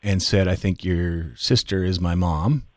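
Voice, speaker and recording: Man, fifties, low pitch, reflective storyteller, halting phrasing, dry close sound, podcast guest. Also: no echo. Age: 50s. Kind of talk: personal storytelling in conversation.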